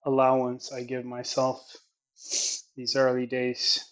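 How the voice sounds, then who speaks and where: Man, Swedish native, flat even pitch, slow reflective delivery. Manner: slow, thoughtful, halting phrasing. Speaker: athlete training on camera. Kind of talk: reflective training vlog narration.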